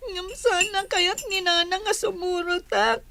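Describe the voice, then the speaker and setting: A woman's voice: very high voice, fairly even intonation, unhurried, bright, forceful tone, slight background noise, heavily acted. A woman with an Ilocano accent playing a tearful, plaintive young girl in a radio drama. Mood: tearful pleading. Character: sad, tearful young girl, left-out child.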